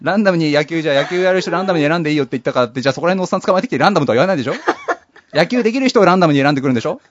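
A man's voice: a little high, expressive, rapid-fire. A man co-hosting a casual comedic talk show, chatting in a nagging, scolding, exasperated straight-man way. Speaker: radio show co-host. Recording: dull lo-fi dry recording.

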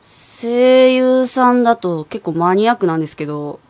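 A woman's voice: fairly high pitch, animated, moderate pace, darkish tone, no room sound. A woman as a voice actress guest, chatting casually with short, playful replies. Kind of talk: casual interview chat.

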